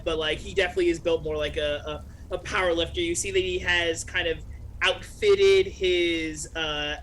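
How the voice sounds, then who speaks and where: A man's voice: high voice, fairly bright tone, a little hiss or hum. A man casually improvising a description of his character as a player in a tabletop game.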